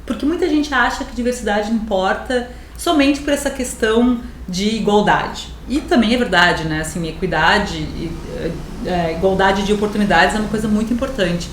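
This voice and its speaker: Brief: earnest female professor explaining, mid pitch, roomy echo, faint noise. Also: moderate pace. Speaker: professor and writer. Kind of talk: interview talk.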